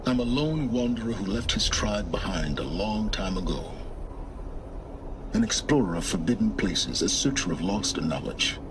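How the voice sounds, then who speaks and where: Deep-voiced, aloof male scholar played by an actor. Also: expressive, noisy background, close to an everyday voice. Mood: guarded, explanatory. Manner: measured, condescending, curt. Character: aloof, impatient, secretive middle-aged male lone wanderer and scholar. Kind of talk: video game cutscene dialogue.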